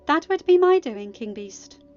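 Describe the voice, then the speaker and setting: A woman's voice: sits high, lively intonation, a little slow, darkish tone, highs cut off, faint music behind, pitched above the performer's own voice. A woman voicing a proud young woman who speaks coolly and pointedly in a storybook reading. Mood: confident. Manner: cool, pointed. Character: proud young woman, cool, sharp, defensive of her father.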